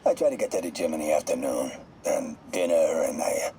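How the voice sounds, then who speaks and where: A man's voice: a bass voice, fairly quick, dark tone, a little hiss or hum. An actor playing a cocky, coolly remorseless mob hitman with an American accent, casually menacing in a video game audio log. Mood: casual, deadpan. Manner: casual, matter-of-fact menace.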